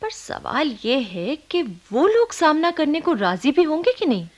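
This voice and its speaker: A woman playing an anxious but practical young married woman in a radio play, speaking in an animated, urgent and reassuring way. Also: high voice, measured pace. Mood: conspiratorial, practical. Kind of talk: Hindi radio play acting.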